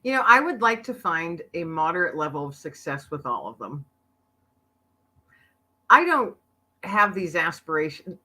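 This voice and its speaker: A middle-aged woman tells stories as a guest, animated and quick to laugh. Her bright voice swings widely up and down in pitch. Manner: animated storyteller, laughs often. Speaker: podcaster and author, guest. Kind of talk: casual interview chat.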